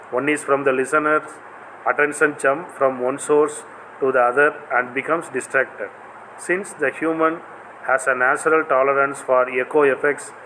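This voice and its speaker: A man's voice: mid-range voice, fairly bright tone, slight background noise, low-quality recording. A man with a South Indian accent giving a scripted technical lecture in a formal, read-aloud way. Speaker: assistant professor giving a video lecture.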